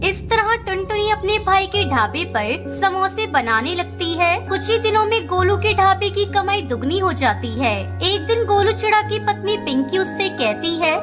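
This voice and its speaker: A woman's voice: very high voice, lively intonation, normal speed, hardly any pauses, music in the background. A woman reading scripted narration for a children's cartoon moral story.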